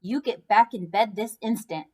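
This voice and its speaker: A woman's voice: medium pitch, moderate pace, slightly rough, close to an everyday voice. A woman voicing an alarmed, bossy mother in a children's storybook, exclaiming and giving orders. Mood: stern.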